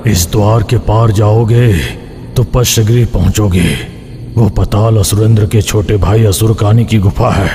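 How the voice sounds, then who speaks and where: Guy doing spooky cartoon severed hand, taunting demon servant, dark lowish voice, big reverb. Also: fairly even intonation, a little slow, lightly acted. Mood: grimly explaining. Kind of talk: Hindi horror cartoon dialogue. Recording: long hall reverb, background noise.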